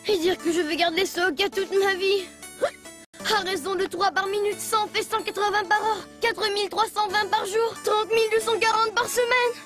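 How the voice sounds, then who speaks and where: A woman voicing a playful, earnest, excitable child character in a French children's cartoon, with childlike expressiveness. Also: extremely high pitch, normal speed, over music. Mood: distressed.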